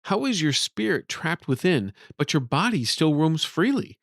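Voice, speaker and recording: A man's voice: a little high, sing-song, normal speed, vocal fry, fairly bright tone, dry recording, studio quality, pitched above the performer's own voice, full character voice. Man playing a wary young fantasy adventurer. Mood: wary, demanding. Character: wary, demanding young nephew, a sword-bearing fantasy adventurer.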